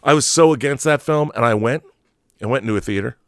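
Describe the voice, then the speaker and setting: A man's voice: medium pitch, fast, clear, resonant tone, no room sound, clean studio sound. A man hosting a film commentary show, giving opinions off the cuff in an emphatic, rambling way with repeated and restarted phrases. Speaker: trailer editor.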